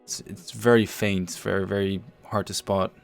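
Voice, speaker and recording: A man with a low, clean and resonant voice talks through a game project as the host of a stream. His delivery is casual and measured, with pauses to find his words. Soft music plays faintly underneath. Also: level tone, vocal fry. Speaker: host and project lead on a developer livestream. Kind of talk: casual show-and-tell commentary over game screenshots.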